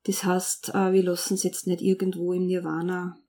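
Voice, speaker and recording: A woman's voice: fairly low pitch, monotone, moderate pace, dark tone. A woman who runs the interview, asking questions in an encouraging, upbeat way. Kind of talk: casual interview.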